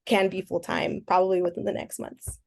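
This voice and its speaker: A woman's voice: fairly low pitch, level tone, a little fast, vocal fry, a little mellow. A woman in her twenties from the United States, a fashion content creator answering interview questions in an easygoing, matter-of-fact way. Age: 20s.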